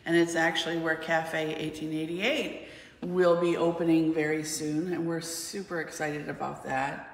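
A woman's voice: deep voice, level tone, measured pace. A middle-aged woman telling a history as an interview guest in a large room indoors. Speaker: guest, outreach and networking representative. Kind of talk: explanatory interview storytelling. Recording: echoey reverberant room.

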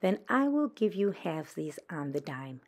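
A woman's voice: low-pitched, very animated, moderate pace, darkish tone, exaggerated voice. Lady doing a little girl badger for a picture book, firm n matter-of-fact, kinda shrewd. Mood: conciliatory. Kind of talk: children's storybook character voice.

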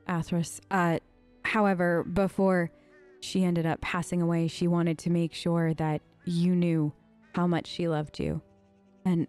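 A woman's voice: on the low side, darkish tone. A woman doing casual, earnest role-play commentary as a let's play host. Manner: informal, earnest, empathetic.

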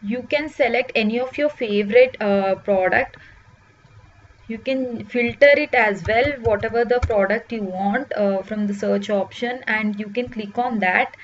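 A higher-pitched woman guides viewers through a web app demo step by step, often pausing on "uh". The recording sounds dull and lo-fi, with a faint hiss behind her voice. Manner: explains step by step with frequent "uh" pauses. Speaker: software demo presenter. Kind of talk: screen-recorded product walkthrough.

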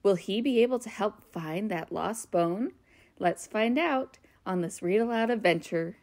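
A woman reading a children's picture book aloud in a gentle, expressive, child-friendly way. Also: medium pitch, a little slow. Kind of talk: storybook read-aloud.

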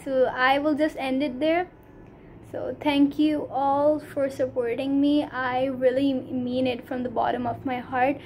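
A teenage girl from Pakistan with a Pakistani English accent, Urdu her first language, talking earnestly to a phone camera in a small room, halting, with many fillers. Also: high-pitched, little pitch movement, a little mellow, slight background noise. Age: teens. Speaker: vlogger. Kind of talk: casual talk to camera. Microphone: phone camera at arm's length.

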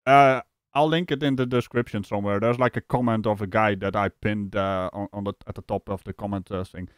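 Male host from the Netherlands, mocking and lively, on a dry close mic. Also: on the low side, a little fast, clear, resonant tone, dry recording. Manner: animated, mocking, laughs often. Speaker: streamer host. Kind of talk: live reaction commentary.

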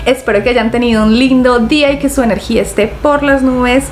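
A young Colombian woman with a Santander accent, hosting a casual reaction video from her living room and talking in a chatty, animated way with frequent laughs. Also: a little high, brisk, a little hiss or hum. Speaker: YouTube reactor. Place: living room, indoors, on a sofa.

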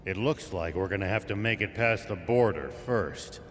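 An actor playing a stern, level-headed old man in a video game, speaking in a grave, measured way. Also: fairly low pitch, a little hiss or hum, natural voice. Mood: composed. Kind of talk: animated video game cutscene acting.

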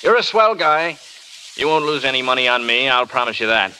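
Charming flippant movie idol, hard-drinking, teasing, very lively intonation, 1930s radio play, hissy crackle. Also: male voice, mid-range voice, a little fast. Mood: bittersweet bravado. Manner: wry, teasing, theatrical. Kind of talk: 1930s radio drama acting.

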